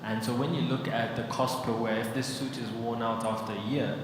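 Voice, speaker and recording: A fashion designer speaking on a conference panel, thoughtful, with many fillers and restarts. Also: male voice, mid-range voice, little pitch movement, fairly quick. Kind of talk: panel discussion. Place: large conference hall on stage. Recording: very echoey hall with background noise.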